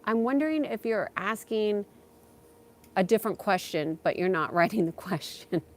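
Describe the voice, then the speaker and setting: A woman host chatting casually on a livestream as a spiritual guide, thinking aloud in a halting way as she takes viewer questions. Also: mid-range voice, expressive, a little mellow.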